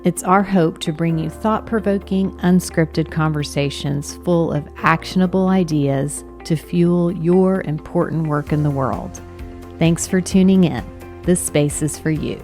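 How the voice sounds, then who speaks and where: A woman's voice: sits low, darkish tone, soft background music. Woman, from Guatemala, podcast host, encouraging, easy laugh. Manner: upbeat, encouraging, quick to laugh. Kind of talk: conversational podcast hosting.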